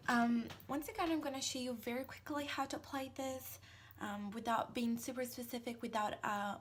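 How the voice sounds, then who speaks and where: An Italian-accented woman in her thirties walks through her makeup routine in a relaxed, chatty way, pausing on ums and restarting her sentences. Her voice sits a little high. A faint hiss of room noise runs under the recording. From Italy. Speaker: makeup tutorial host. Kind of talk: casual how-to narration.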